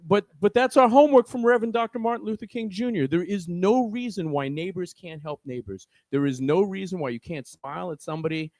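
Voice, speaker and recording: A man's voice: sits high, fairly quick, few pauses, a little mellow, no echo. A man with a New York accent speaking as a guest organizer in an impassioned podcast discussion, earnest and preachy, often restarting his words.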